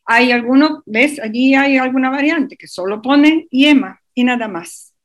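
A woman's voice: sits high, normal speed. A woman guest on a radio interview, explaining at length with many hesitations and restarts. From Europe.

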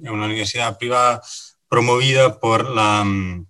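Italian-accented man, native Italian, interview guest, halting and full of fillers, mid-pitched voice. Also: fairly even intonation, pushed and bright. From Italy. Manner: hesitant, many fillers and restarts. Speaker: guest, finance director. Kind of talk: radio interview.

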